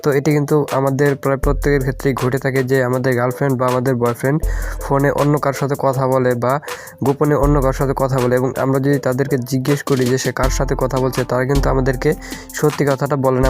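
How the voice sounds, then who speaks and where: Fast-talking man over clear background music. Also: mid-range voice. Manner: repeatedly checks in with the listener. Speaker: tech tutorial host. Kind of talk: informal step-by-step video tutorial narration.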